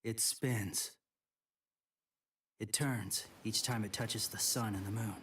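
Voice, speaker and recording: Matter-of-fact young anime hero: slow, mid register, noisy game backdrop. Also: male voice, lowish voice, steady tone, a bit bright. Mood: earnest, brooding. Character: earnest, matter-of-fact young man who sees ghosts. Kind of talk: English-dubbed anime game acting.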